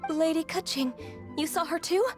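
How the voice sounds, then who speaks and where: Very high, timid young woman. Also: moderate pace, darkish tone. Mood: nervous. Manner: hesitant, apologetic, polite. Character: gentle, timid, anxious, deferential young woman. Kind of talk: video game character acting. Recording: light music behind.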